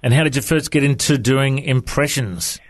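A man's voice: mid-range voice, moderate pace. A man hosting a program and interviewing a guest in a friendly, conversational way. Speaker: radio host.

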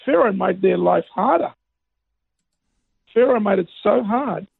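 A middle-aged man, a native Greek speaker, giving earnest testimony as an interview guest, with a raspy voice and emphatic, preacher-like phrasing. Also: high-pitched, animated, unhurried, dry recording. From Australia. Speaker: interview guest, pastor and evangelist. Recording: narrow phone-like band.

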